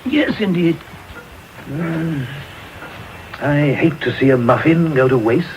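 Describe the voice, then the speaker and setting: Casting: impatient elderly gent, fussy and questioning, radio drama heard through clear static. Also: male voice, fairly high pitch, takes it slowly. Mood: peevish. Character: fussy, impatient older English gentleman. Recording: noisy off-air medium wave radio recording.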